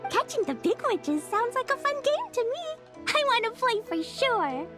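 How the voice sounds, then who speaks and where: A woman's voice: very high voice, sing-song, measured pace, over music, heavily acted. A woman voicing a sweet, innocent, playful little witch girl in an anime game. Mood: cheerful.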